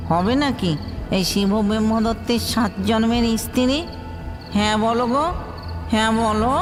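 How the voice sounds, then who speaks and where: A man playing a lovesick, henpecked male ghost who pleads and wheedles in a theatrical comic audio drama. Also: very high voice. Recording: long hall reverb, music underneath.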